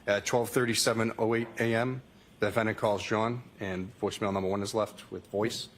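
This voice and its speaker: A man with a fairly low voice testifies from a courtroom witness stand as a police witness. He reads out log entries in a matter-of-fact, repetitive way, with faint noise on the recording. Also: fairly even intonation, a little fast. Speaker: state trooper testifying as witness.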